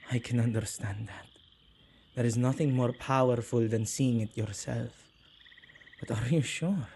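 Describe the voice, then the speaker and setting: A man playing an earnest, tender male witch who protects ghosts, speaking softly and intimately in an ASMR roleplay. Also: medium pitch, a little hiss or hum. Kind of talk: ASMR boyfriend roleplay acting.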